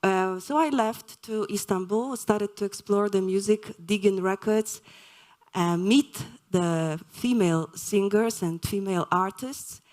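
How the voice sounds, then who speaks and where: A woman from Poland giving a live lecture, speaking slowly and hesitantly with many fillers. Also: mid-range voice, a little mellow. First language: Polish.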